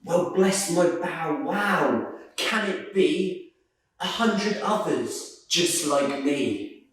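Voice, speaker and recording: A man's voice: a little high, expressive, extremely slow, fairly bright tone, slight background noise, full character voice. A man voicing a small, wistful female misfit dog from a children's storybook, speaking in earnest rhyme. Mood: delighted. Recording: roomy echo, distant microphone.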